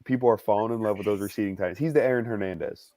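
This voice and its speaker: Low, dry-sounding young male analyst. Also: racing, mellow, no room sound. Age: 20s. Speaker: football draft analyst. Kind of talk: casual sports analysis.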